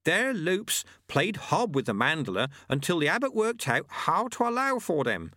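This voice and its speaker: A man puts on a wry, unruffled monk from a storybook. The voice is pitched high and bobs about in a lively, breezy way as it dispenses wisdom. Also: normal speed, dry recording, clean studio sound. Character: wry, unruffled male sweeper monk, fond of proverbs.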